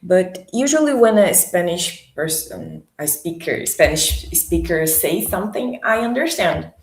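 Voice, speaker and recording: A woman from Brazil, speaking with a Brazilian Portuguese accent, gives a language lesson in English. She talks slowly and stops to hunt for English words. Her voice sits slightly low and carries room echo. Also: animated. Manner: hesitant, searching for English words. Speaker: language tutor.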